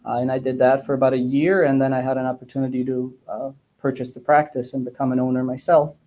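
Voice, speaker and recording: A man in his thirties giving measured, casual interview answers with hesitant pauses. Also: mid-range voice, flat delivery, warm tone. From Bosnia, grew up in Germany and the United States. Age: 30s. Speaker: guest, dentist.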